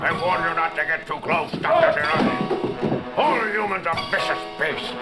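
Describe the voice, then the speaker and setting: Casting: menacing ape guard in an audio drama, contemptuous, barking, fairly high register. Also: male voice, big pitch swings, normal speed, gravelly, over loud music, exaggerated voice, low-quality recording. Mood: hostile, scornful. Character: brutal, contemptuous gorilla guard. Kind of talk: 1970s audio drama acting.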